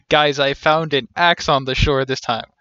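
A man's voice: fairly high pitch, bright, forceful tone, no echo, muffled recording. A man in his thirties giving casual, joking live commentary as he streams a game. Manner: joking, wry. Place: small indoor room, seated.

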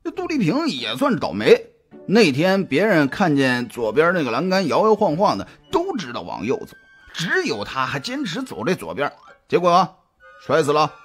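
A man playing a jovial, chatty private detective in an audio drama, talking colloquially with drawn-out hesitations in a husky voice. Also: on the high side, huge pitch swings, full character voice. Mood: chatty, animated. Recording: music behind the voice.

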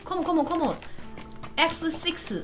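A woman in her twenties from South Korea, speaking English with a Korean accent while streaming a game. She talks loudly and excitably, in rapid bursts and shouts. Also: very high voice, soft background music. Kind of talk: excited live-stream gameplay chat.

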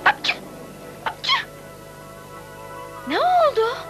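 A woman voicing a plucky, clever little girl heroine in a Turkish-dubbed children's cartoon, cheeky, animated and determined. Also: very high-pitched, very slow, over music, exaggerated voice. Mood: eager.

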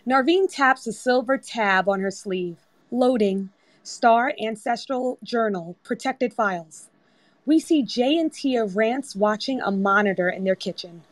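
A woman's voice: fairly high pitch, unhurried, no echo. A woman reading scripted screenplay narration as the narrator of a table read. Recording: online group voice-chat app.

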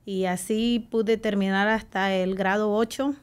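Salvadoran lady, middle-aged, chatting about her own life on a studio mic, normal pitch. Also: steady tone, unhurried, clean tone. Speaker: interview guest. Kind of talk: recounting personal life in conversation. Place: small radio studio. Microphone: close desk microphone with headphones.

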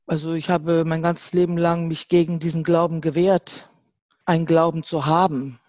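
A middle-aged woman speaking as a panel guest, deliberate and sceptical, pausing between phrases. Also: sits low, level tone, a little mellow, no room sound. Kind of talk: reflective panel discussion.

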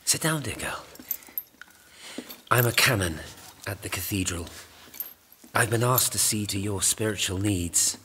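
A man's voice: lowish voice, unhurried, long pauses, background noise, close to an everyday voice. A man playing a sly cathedral canon who poses as a kindly confidant in an audio drama, formal and insinuating. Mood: falsely soothing.

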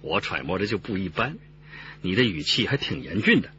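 An actor playing an eager, cheeky police detective with a brisk, bantering manner in a radio drama. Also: male voice, deep voice, big pitch swings, bright, forceful tone, full character voice. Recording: slightly dull, noisy recording.

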